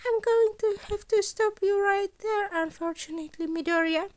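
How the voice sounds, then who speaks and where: A small, cunning rodent principal from an audio story, acted out by a woman, speaks in a very high voice. He is courteous and formal, stressing his words firmly as he sets out exacting terms. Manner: formal, measured, emphatic phrasing.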